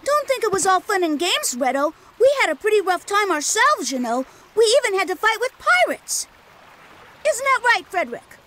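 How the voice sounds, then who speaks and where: A man's voice: extremely high pitch, big pitch swings, moderate pace, sharp tone, exaggerated voice. An actor playing a friendly, teasing young boy adventurer in an English video game dub. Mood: excited.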